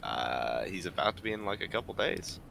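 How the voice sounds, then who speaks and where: Low, gravelly, animated man. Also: moderate pace. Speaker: podcast panelist. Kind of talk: casual banter. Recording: clear background noise.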